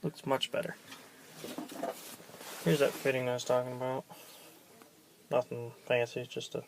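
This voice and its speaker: A man giving a casual, offhand walkaround in colloquial speech, low-key and gravelly. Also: flat delivery, normal speed. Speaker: vlogger showing his pickup trucks. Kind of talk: casual chat, walkaround show-and-tell. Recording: noisy outdoor handheld camera recording.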